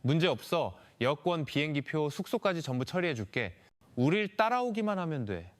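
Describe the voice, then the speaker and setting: A smooth, calculating recruiter in his thirties from an audio crime story, read by a male narrator. He coaxes with overconfident ease, in a lively, varied voice. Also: fairly high pitch, moderate pace. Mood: smooth, coaxing. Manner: smooth, confident, coaxing.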